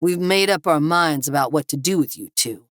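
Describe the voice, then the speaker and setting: A woman acts out a brutal male thug from an audiobook thriller. The voice is raised and pushed above a low narrating register, barking orders and snarling threats. The recording is close and dry. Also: lively intonation, moderate pace, dry recording. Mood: cruel, threatening. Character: menacing, foul-mouthed armed male thug, snarling orders.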